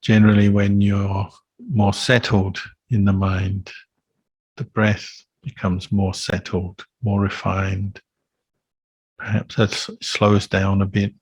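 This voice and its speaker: Male meditation teacher, very even delivery, slightly husky and soft-edged, calm phrasing with gentle pauses. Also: fairly low pitch, no echo, lo-fi sound. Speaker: Buddhist monk teacher leading meditation. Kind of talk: guided meditation instruction.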